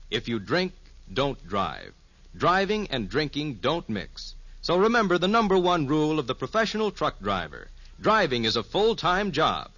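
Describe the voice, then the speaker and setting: A man with an American accent reading scripted 1950s network radio announcements in a measured, emphatic way. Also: medium pitch, bright, forceful tone. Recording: muffled vintage radio recording.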